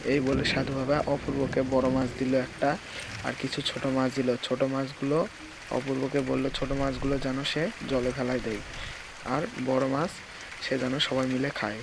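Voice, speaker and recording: A man's voice: medium pitch, flat delivery, normal speed, noisy background. A man narrating a scripted children's story. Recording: music behind the voice.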